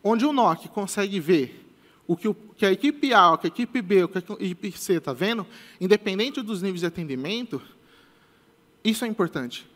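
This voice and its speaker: A man's voice: high voice, expressive, normal speed, fairly bright tone. A young man from Brazil giving a casual technical talk on a large conference stage, with many restarts and a habit of ending phrases with a checking tag. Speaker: conference speaker. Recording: long hall reverb.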